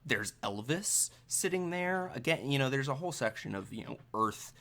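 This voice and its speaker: Halting man narrating as game master. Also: mid-range voice, animated, a bit bright. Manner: hesitant, halting, conversational. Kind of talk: improvised tabletop game narration.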